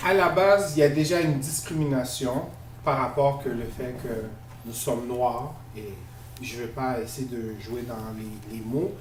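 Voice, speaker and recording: A man's voice: mid-range voice, unhurried, recorded from a distance. A man from Quebec with a Quebec French accent, co-hosting a podcast in casual discussion, earnest and emphatic, repeating words as he searches for them. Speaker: podcast co-host. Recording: echoey room, off-mic, faint noise.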